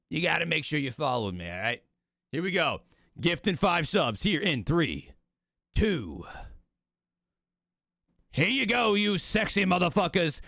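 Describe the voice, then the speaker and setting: Male streamer, high-pitched, hyped bro slang, sweary, strained voice, bright and forceful, casual chat with viewers. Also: creaky, no room sound, clean studio sound. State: voice strained, losing it. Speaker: live streamer hosting a watch party.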